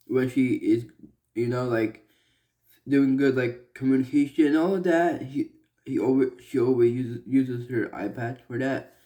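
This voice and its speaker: Slow, halting young man from Malaysia. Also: mid-range voice, darkish tone. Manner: earnest, halting, many fillers and restarts. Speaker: vlogger. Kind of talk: personal vlog talk.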